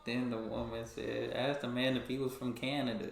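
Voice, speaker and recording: Male reaction host, slangy and relaxed, roomy echo on the voice. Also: medium pitch, moderate pace, gravelly, noisy background. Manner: laid-back, slangy, laughing along. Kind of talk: casual reaction chat.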